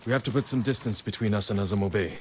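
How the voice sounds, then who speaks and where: An actor playing a grave, steadfast samurai lord in a dramatic video game. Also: male voice, lowish voice, rapid-fire, fairly bright tone, slight background noise, lightly acted. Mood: steady, guarded. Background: game music.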